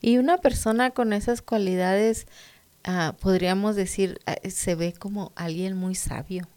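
A woman's voice: mid-range voice, steady tone, a little slow, a little mellow. Affirming woman co-host reading scripture. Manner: affirming, brief backchannels. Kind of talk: supportive chat and scripture reading.

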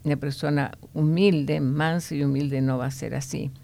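Low, gravelly woman teaching the Bible. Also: fairly even intonation, dark tone. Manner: explanatory, often ending points with a questioning "no?"; unhurried, with frequent hesitation fillers.

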